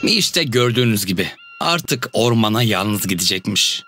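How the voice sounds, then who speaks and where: A man's voice: on the low side, animated, moderate pace. A man narrating a scripted children's fairy tale as the storyteller. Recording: loud music under the voice.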